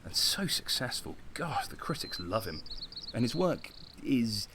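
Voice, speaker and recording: An actor playing a bitter, insecure young man in a naturalistic audio drama, speaking intensely with dramatic pauses. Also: medium pitch, sing-song, noisy background. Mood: grudging. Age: young adult. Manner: intense, agitated, self-pitying, with dramatic pauses. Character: young man, Konstantin, bitter, insecure, impassioned would-be artist.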